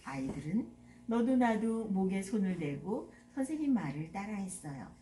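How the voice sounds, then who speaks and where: A woman's voice: medium pitch, moderate pace. An older woman narrating a children's story as a grandmother storyteller, expressively. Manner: expressive, acting out dialogue. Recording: echoey room with clear background noise.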